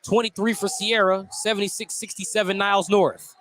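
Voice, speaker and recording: A man doing live play-by-play radio commentary of a high school basketball game, descriptive and excited on the plays. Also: brisk, slight background noise. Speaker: play-by-play sports announcer.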